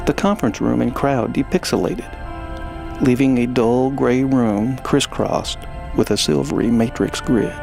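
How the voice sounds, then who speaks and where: A man hosting and narrating a science fiction story in a theatrical, deliberate storyteller's manner. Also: mid-range voice, gravelly, over music, poor audio. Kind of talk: scripted dramatic narration.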